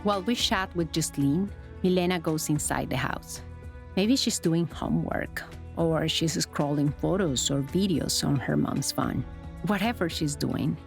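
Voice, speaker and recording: A woman narrating a documentary report in a calm, descriptive, scripted manner. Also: fairly low pitch, unhurried, gravelly. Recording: studio voice-over with music bed.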